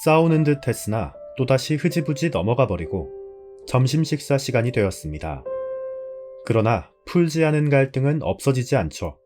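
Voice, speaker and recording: A man's voice: medium pitch, big pitch swings, moderate pace, a little mellow. A man narrating a drama's story in a scripted storytelling style. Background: background music.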